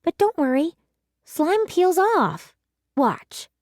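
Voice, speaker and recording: A woman's voice: very high-pitched, very animated, slow pace, darkish tone, no room sound, studio quality, pitched above the performer's own voice, full character voice. A woman voicing an earnest, defensive young schoolgirl in a children's storybook, plain-spoken and pleading. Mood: sheepish, defensive. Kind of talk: children's storybook read-aloud.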